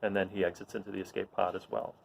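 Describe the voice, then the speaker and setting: A man casually introducing readings and setting scenes in a halting, conversational way. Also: low-pitched, monotone, gravelly, slight background noise, low-quality recording. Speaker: host, storyteller.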